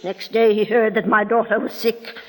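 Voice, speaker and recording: A woman's voice: fairly high pitch, level tone, moderate pace, natural voice. An actress playing a desperate, deferential mother accused of witchcraft in a 1940s radio drama. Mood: earnest pleading. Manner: deferential, earnest. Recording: reverberant old radio drama recording.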